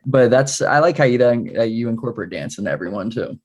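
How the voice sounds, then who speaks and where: Fast-talking laid-back male co-host. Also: mid-range voice, expressive, a little mellow. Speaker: radio co-host. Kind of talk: casual radio interview.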